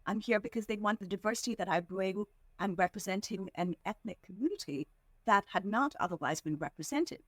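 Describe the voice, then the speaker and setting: A woman from India speaks as a podcast guest in an earnest, measured way, slowing on the words she wants to land. Her voice sits at a middle pitch. The recording is dry and close, with no room sound. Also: animated, dry recording. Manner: measured, earnest, slows down to stress points. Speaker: podcast guest, fundraising executive. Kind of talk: reflective interview answers.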